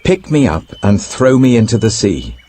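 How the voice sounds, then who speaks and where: A man's voice: lowish voice, sing-song, moderate pace, warm tone, voice pushed up. A British man voicing a grave, devout, repentant prophet in a solemn, declamatory scripture reading. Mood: grave, resigned.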